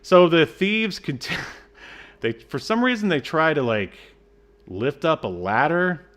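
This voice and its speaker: A man co-hosting a podcast, casually reviewing a film in a halting, wryly exasperated way. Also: fairly high pitch, big pitch swings, a bit bright. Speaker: podcast co-host.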